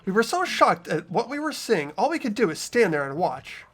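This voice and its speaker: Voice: mid-range voice, very animated, moderate pace, a bit bright, slightly rough. The host of a game playthrough, narrating the story and joking with friends, swearing and laughing during the banter.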